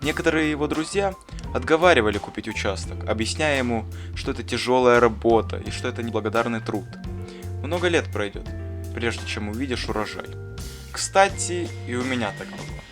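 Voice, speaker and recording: Actor as wise cartoon schoolteacher, male, kindly and didactic, measured storytelling, mid-range pitch, music behind. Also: lightly acted. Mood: kindly, reflective. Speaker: teacher. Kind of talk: scripted storytelling in an educational cartoon.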